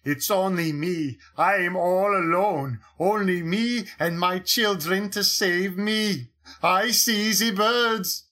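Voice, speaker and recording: A man voicing a paranoid, malicious painter in an audiobook, speaking slowly and broodingly. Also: high-pitched, sharp tone, full character voice. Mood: menacing. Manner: slow, brooding, menacing. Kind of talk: audiobook character reading.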